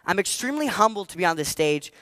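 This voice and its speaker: A fourteen-year-old boy giving a motivational talk on stage, energetic and emphatic, repeating his key phrases. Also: high-pitched, fast talker, no room sound. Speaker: motivational speaker. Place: stage before a live audience.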